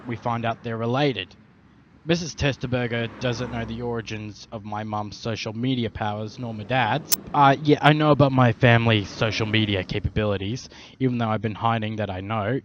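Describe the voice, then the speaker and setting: A young man with an Australian accent, swearing heavily and rambling through an in-character story. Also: on the low side. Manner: heavy swearing, slangy, rambling. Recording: dull lo-fi recording, faint traffic hum.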